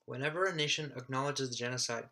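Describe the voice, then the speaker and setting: Young male student correspondent, bright forceful voice, medium pitch, scripted delivery. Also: quick pace. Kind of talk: scripted report.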